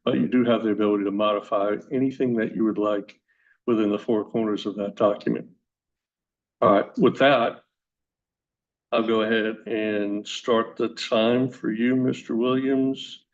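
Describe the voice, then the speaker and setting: A man with a low, flat voice lays out hearing procedure in a measured, explanatory manner. His tone barely rises or falls. The recording is dull and lo-fi. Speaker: hearing officer. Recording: dull lo-fi hearing room audio.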